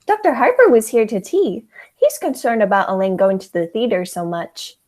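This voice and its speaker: A teenage woman playing a sweet, unflappable elderly aunt, genteel and gently scolding, in a high school radio play. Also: lively intonation, normal speed. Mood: sweet, fussing.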